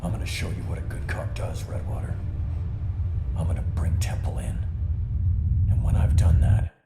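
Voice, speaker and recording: An actor playing a vengeful, grimly determined hard-boiled cop in a video game, with a gravelly, menacing delivery. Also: male voice, low-pitched, level tone, moderate pace, whispered, close to an everyday voice. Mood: cold menace. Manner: menacing, clipped. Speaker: voice actor playing a police officer. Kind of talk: dramatic video game acting. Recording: echoey, loud music behind.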